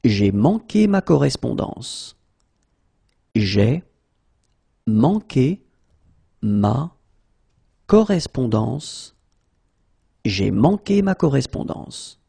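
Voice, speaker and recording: A man teaching French in a pronunciation drill, slowly repeating each phrase and then saying it word by word. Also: mid-range voice, big pitch swings, gravelly, dark tone, breathy edge, dry recording, studio quality.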